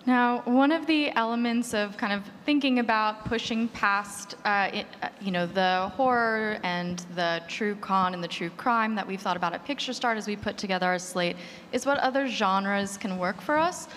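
A woman's voice: medium pitch, moderate pace, fairly bright tone. A woman moderating a panel, introducing topics and putting questions to the panelists. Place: large reverberant hall at a live panel. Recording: echoey hall, background noise.

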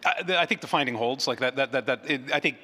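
A man's voice: mid-range voice, fast, bright, forceful tone, breathy edge. A man answering questions as a panel speaker at a conference, often restarting and repeating his words. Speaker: panel speaker from an audio platform. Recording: hall reverb.

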